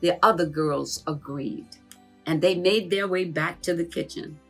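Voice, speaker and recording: A woman's voice: fairly low pitch, unhurried, a bit bright, slightly rough, faint music behind. A woman narrating a children's storybook in a conversational storytelling manner.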